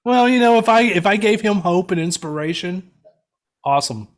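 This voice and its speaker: A man's voice: high-pitched, lively intonation, moderate pace. A man who is a regular on a podcast, telling a self-deprecating story in casual banter.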